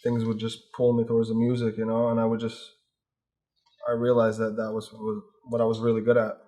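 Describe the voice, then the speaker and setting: A young man from Palestine, a hip hop artist, chatting in a low-key, laid-back way while getting a haircut in a barbershop. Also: monotone, brisk, dark tone. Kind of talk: casual interview chat.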